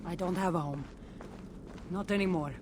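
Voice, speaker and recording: Woman doing a warrior lady for a video game, sure of herself but world weary, clear noise behind her. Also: on the low side, normal speed, natural voice. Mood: somber. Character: world-weary, self-assured wandering female warrior from ancient Greece. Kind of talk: video game dramatic dialogue. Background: game ambience.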